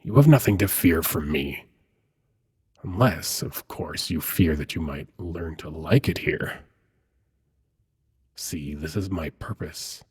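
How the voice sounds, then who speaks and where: A man playing an ancient, seductive demon prince in an intimate ASMR roleplay, hushed and coaxing, with dramatic pauses and a husky, breathy voice. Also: very deep voice, exaggerated voice. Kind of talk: ASMR roleplay, intimate second-person monologue.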